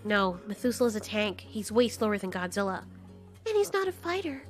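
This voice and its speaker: A woman's voice: medium pitch, sing-song, brisk, soft background music, close to an everyday voice. A woman voicing an anxious, jealous female giant moth monster in an amateur audio story, with a hesitant, emphatic delivery. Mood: doubtful.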